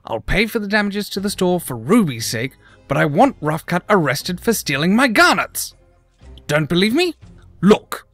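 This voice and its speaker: Man playing an indignant, higher-voiced male griffin. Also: big pitch swings, normal speed, fairly bright tone, no echo, soft background music, full character voice. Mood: pleading, firm. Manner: defensive, explanatory. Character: indignant, defensive male griffin gem-mine heir. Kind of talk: audio drama acting.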